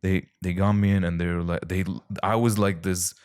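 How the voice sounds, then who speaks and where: A young man with an American accent tells stories as a podcast guest, in a somewhat low voice. He talks in an animated way, doubling up words and breaking into quick laughs. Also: clear, resonant tone. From Middle East. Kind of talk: casual chat.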